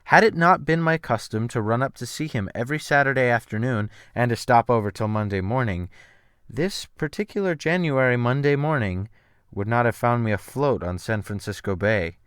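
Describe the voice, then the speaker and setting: A man narrating a literary audiobook in the first person, with formal, theatrical, measured phrasing. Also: medium pitch, expressive, fairly bright tone, clean tone, no echo, studio quality.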